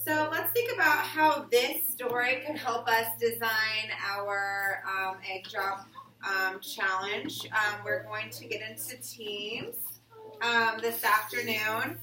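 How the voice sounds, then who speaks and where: A woman's voice: fairly high pitch, steady tone, takes it slowly, pushed and bright. A woman teaching an elementary class, leading an interactive read-aloud discussion with encouraging questions. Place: classroom with children answering. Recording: roomy, distant mic, faint noise.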